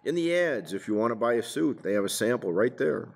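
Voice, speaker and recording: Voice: mid-range voice, lively intonation, a little fast, vocal fry. An actor playing a folksy, earnest married police detective chatting with a partner in a radio drama. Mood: folksy, chatty. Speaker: supporting actor. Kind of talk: old-time radio police drama dialogue.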